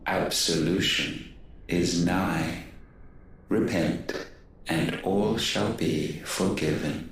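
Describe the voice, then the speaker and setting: A godlike game villain, speaks gravely and imperiously. The voice is very deep and extremely slow. It rings with heavy echo over loud music. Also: male voice, distant mic, a little hiss or hum, exaggerated voice. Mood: solemn, imperious. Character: haughty godlike villain, solemn male deity demanding penance. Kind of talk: dramatic video game voice acting.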